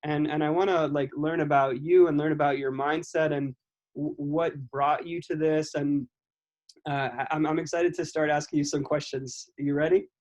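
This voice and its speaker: A man's voice: on the high side, fairly even intonation, moderate pace, a little mellow, highs cut off. A man interviewing casually over a video call, often repeating and rephrasing himself mid-sentence. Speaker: podcast interviewer. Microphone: video call microphone.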